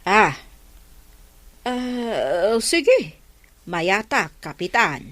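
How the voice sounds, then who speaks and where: Lively, stern Ilocano village woman. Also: mid-range voice, drawn-out words, no echo, full character voice. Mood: reluctant agreement. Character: aggrieved village woman demanding a fine, finally relenting. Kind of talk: Ilocano radio comedy drama acting.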